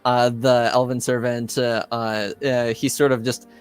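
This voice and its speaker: Halting male game master over music. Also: mid-range voice, level tone, clean tone. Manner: halting, pauses to think mid-sentence. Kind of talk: improvised narration of a tabletop game.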